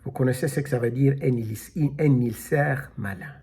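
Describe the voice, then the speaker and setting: A man preaching a sermon, slow and emphatic, calling out alleluia as he explains scripture. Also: medium pitch, creaky, dark tone, slightly rough. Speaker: pastor.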